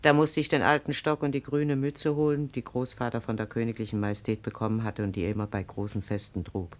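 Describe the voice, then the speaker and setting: An actress playing a proud, grave older farm mistress in a German radio play, speaking earnestly and deliberately, with quiet command. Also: female voice, very deep voice, fairly even intonation. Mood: earnest, confiding. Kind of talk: 1950s German radio drama acting.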